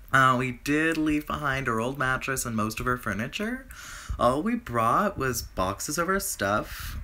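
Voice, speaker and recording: A man whose voice is raspy from a recent flu, rambling through a casual video update with lots of fillers and restarts. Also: mid-range voice, bright, forceful tone, a little hiss or hum. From Canada. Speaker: vlogger.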